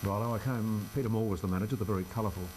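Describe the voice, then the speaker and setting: Low-pitched older Australian radio man, humming noise. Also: steady tone, rapid-fire. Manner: informal, wry, self-deprecating. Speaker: local radio talk presenter. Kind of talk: casual interview chat. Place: radio studio.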